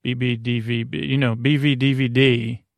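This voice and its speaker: A man hosting a bedtime podcast in a creaky voice, gently meandering, halting and repeating words as he soothes the listener. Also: mid-range voice, level tone, no echo. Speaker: podcast host. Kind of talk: rambling, improvised bedtime podcast monologue.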